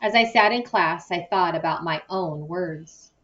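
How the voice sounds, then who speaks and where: Low-voiced woman reading aloud, slightly distant. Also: a little slow. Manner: animated, acts out dialogue. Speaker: audiobook-style reader. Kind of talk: expressive read-aloud of a children's novel. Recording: distant, muffled microphone.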